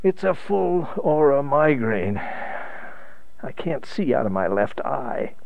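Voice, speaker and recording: A man acts out a weary, resigned husband in a radio play. He speaks slowly and haltingly, with very wide swings in pitch, and the sound is a little dull. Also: very animated, vocal fry, no room sound, full character voice. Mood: weary, tender. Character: weary, migraine-stricken husband, gentle and resigned. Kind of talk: radio drama acting.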